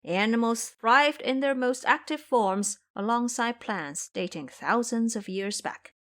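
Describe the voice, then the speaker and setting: A woman's voice: a little high, expressive, measured pace, fairly bright tone. A woman giving dramatic scripted narration of a story as the narrator of an audio drama.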